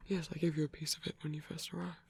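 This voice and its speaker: A man playing a gentle, caring old vampire priest in an intimate ASMR roleplay, speaking in a halting, bashful, breathy whisper. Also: fairly high pitch, flat delivery, slight background noise. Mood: awkward, reassuring. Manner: halting, bashful, softly reassuring.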